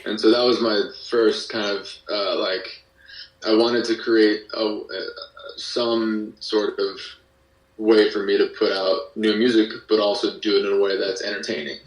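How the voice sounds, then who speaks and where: A man with a lowish voice chats as an interview guest in a halting, hesitant manner. He sounds set back from the mic in a very echoey room. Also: little pitch movement. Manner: hesitant, halting delivery with frequent hedging. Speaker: podcast guest, musician and creator of an audio drama. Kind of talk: casual interview chat. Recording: roomy echo, distant from the mic.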